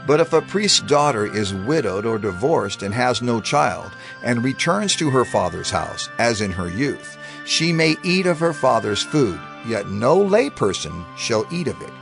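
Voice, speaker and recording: A gravelly law-giver voice, declaims every rule, lots of rise and fall. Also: male voice, medium pitch, big pitch swings, over music. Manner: measured, declaiming, authoritative. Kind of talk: dramatized scripture reading.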